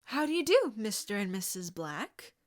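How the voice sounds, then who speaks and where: A woman's voice: medium pitch, sing-song, normal speed, close to an everyday voice. A woman reading a confident, cheerful teenage boy with eager delivery. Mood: eager, upbeat.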